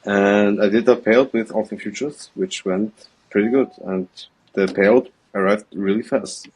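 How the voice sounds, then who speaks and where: Young man, 18, Austrian German accent, lowish voice, hesitant, video call. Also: steady tone, a little mellow, slightly rough, low-quality recording. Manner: hesitant, halting, with many ums. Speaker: guest, student trader. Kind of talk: remote interview answers.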